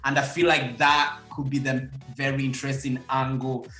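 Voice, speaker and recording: A man's voice: mid-range voice, little pitch movement, moderate pace, bright, forceful tone, reverberant, faint music behind. A young man with a Congolese accent, talking earnestly as a guest about his creative work. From Democratic Republic of Congo. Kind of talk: casual interview.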